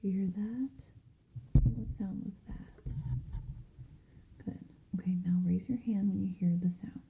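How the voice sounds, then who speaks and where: A woman whispering softly and breathily in an ASMR medical roleplay, gently giving reassuring step-by-step instructions close to the mic. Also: mid-range voice, normal speed, narrow, tinny audio, slight background noise. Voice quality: soft, breathy, mostly unvoiced whisper. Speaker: ASMR creator playing a hearing examiner.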